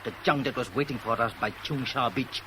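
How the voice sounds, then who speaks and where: An actor playing an agitated, sceptical fugitive, blunt and slangy, in a 1970s radio thriller. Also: male voice, medium pitch, brisk, natural voice. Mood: sceptical, exasperated. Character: agitated, sceptical male fugitive, cocky and exasperated. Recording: noisy old radio drama recording.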